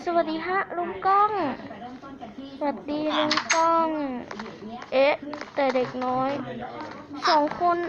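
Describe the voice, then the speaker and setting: A woman's voice: high voice, takes it slowly, pauses often, crowd noise. A woman improvising playful role-play over game play as a boy character, in a childlike, exclamatory way. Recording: dull lo-fi audio, loud background voices.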